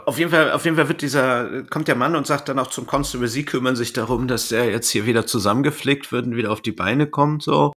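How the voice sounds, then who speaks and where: A man's voice: mid-range voice, fast talker, hardly any pauses, fairly bright tone. A man casually retelling and commenting on a story in colloquial words as a podcast co-host.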